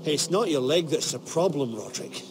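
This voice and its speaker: A man playing a gruff knight in a video game, giving blunt instruction like a combat trainer, with music behind. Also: on the high side, lively intonation, normal speed. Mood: scornful, challenging. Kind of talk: dramatic video game voice acting. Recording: game dialogue over music.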